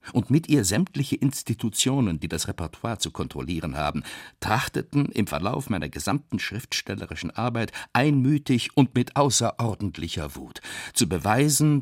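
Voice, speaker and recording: Deep-voiced, impassioned, embattled writer. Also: male voice, husky, no echo, clean studio sound, heavily acted. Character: embattled male writer reading his defiant letter of protest. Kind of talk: dramatic reading of a letter, radio documentary drama.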